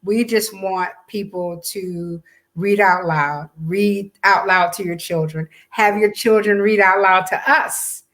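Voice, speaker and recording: A woman's voice: medium pitch, steady tone, slow, a bit bright. An American woman co-hosting a casual promotional chat, speaking conversationally and repeating phrases for emphasis. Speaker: co-host and event organizer.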